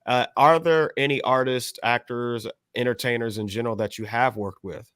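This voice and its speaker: Male podcast host, mid-pitched, wordy and halting, casual interviewing, very little room sound. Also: steady tone, fairly bright tone, clean tone, dry recording, clean studio sound.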